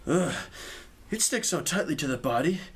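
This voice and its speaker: A man acts out a brash young knight from a radio play, naive and hot-headed. His fairly high voice swings widely in pitch as he speaks bluntly and eagerly. There is faint noise behind him. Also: expressive, moderate pace, close to an everyday voice. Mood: frustrated. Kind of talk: audio drama acting.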